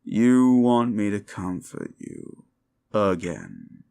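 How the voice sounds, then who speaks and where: A man playing a sinister, mocking demon in a roleplay ASMR style, slow and theatrical, with a gravelly voice and condescending, drawn-out words. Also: on the low side, huge pitch swings, warm tone, full character voice. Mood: mocking, exasperated. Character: sinister mocking male demon, condescending soul-hungry tempter. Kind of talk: roleplay comfort ASMR acting.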